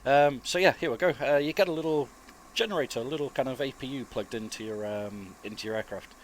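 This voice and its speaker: A man, chesty with a cold, giving relaxed, informal and rambling flight simulator commentary as a video host. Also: mid-range voice, quick pace, a little hiss or hum. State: getting over flu, chesty cough. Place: dry close-miked voice-over indoors.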